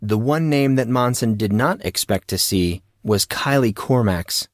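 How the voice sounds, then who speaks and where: A man narrating scripted fiction as an audiobook, with a deliberate, dramatic storytelling delivery. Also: mid-range voice, animated, no echo, studio quality.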